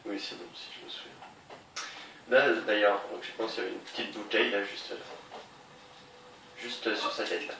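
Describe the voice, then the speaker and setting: A man with a low voice and a slight cold chats his way through a video game, thinking aloud and addressing viewers. He sits far from the mic in a roomy space, with steady noise behind him. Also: moderate pace, creaky, long pauses. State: a bit congested with a cold. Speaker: let's play gamer. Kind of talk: casual game commentary.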